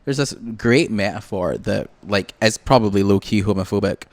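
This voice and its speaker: Late-20s Scottish man, low-pitched, dry close mic, loose and self-mocking podcast co-host. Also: moderate pace, dry recording. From Scotland. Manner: rambling, joking, self-deprecating. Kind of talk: casual chat.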